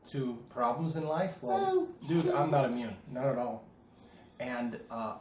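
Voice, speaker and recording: A man's voice: mid-range voice, darkish tone. A man, a priest, speaking casually and candidly to his parishioners in a home video, with emphatic rises. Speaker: Orthodox priest. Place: indoors at home. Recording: echoey room with faint noise.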